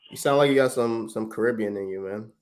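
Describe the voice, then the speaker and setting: A man from Trinidad with a somewhat low voice talks in a loose, slangy, friendly way. He stumbles and restarts often while he chats and pitches. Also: quick pace. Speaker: real estate investor, livestream host. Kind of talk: casual livestream chat and sales phone call.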